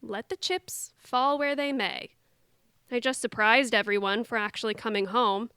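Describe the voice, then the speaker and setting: Woman as bright, sarcastic, exasperated grown daughter. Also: a little high, fairly even intonation, normal speed, smooth, no echo, studio quality. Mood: sardonic. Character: exasperated, quick-witted adult daughter, sarcastic free spirit. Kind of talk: audio theatre acting.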